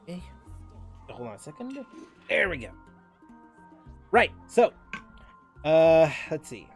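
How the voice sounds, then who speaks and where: A man with a high-pitched voice talks casually as he streams a game. His delivery is halting, with phrases often repeated or started over. Music plays behind him. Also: very animated. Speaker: livestream host, gamer. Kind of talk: casual livestream chat while gaming.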